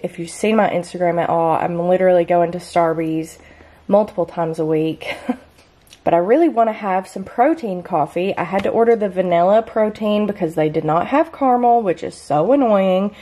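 A young woman in her twenties chatting casually about her groceries in a home kitchen, bubbly and quick to laugh. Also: on the low side, a little mellow. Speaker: lifestyle vlogger. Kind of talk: casual grocery haul chat.

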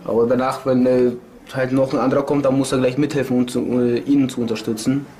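A young man talks casually in an interview, rambling and tacking on little tag questions. His voice is mellow and warm, and he speaks from a dim cell. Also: mid-range voice, little pitch movement, quick pace, slight background noise. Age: young adult. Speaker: inmate interviewee. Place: dark prison cell.

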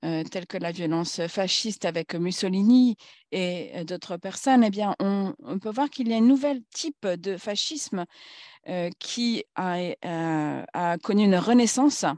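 Low-voiced woman giving a halting talk. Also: darkish tone, no room sound, clean studio sound. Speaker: conference speaker. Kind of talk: formal political talk.

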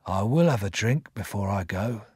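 Guy doing a rough old ex convict for an audiobook, husky n really slow, weary but fond. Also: medium pitch, lively intonation, no room sound, clean studio sound. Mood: slow, questioning. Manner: slow, quiet, deliberate.

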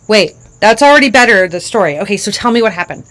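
A woman's voice: mid-range voice, animated, fast talker, fairly bright tone, natural voice. Curious coaxing father, a woman doing him, probing questions, encouraging, near her natural voice. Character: curious grinning father, a mechanic, coaxing a story.

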